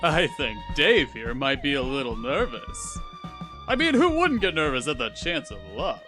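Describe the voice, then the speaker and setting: Flamboyant, swooping game show host. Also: male voice, fairly high pitch, big pitch swings, normal speed, over music. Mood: teasing, showy. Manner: theatrical announcer patter. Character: showy, teasing male dating game show host. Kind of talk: visual novel game show hosting.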